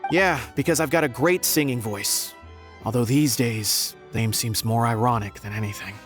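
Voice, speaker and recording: A man's voice: mid-range voice, moderate pace, soft background music, close to an everyday voice. Man voicing a hesitant paper frog singer. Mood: wry. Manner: hesitant, wry.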